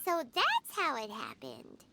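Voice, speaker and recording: A woman voicing a tiny, childlike flying fairy companion in a video game, squeaky, naive and easily scared. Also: wildly swooping pitch, slow, gravelly, very noisy, heavily acted. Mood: curious, puzzled. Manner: refers to self in third person, naive, exclamatory. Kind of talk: animated video game voice acting. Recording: music behind the voice.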